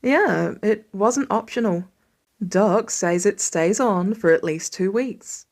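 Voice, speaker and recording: A woman voicing a tired, protective middle-aged father with a dry, measured manner, in a story reading. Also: mid-range voice, a little mellow. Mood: wry, stern.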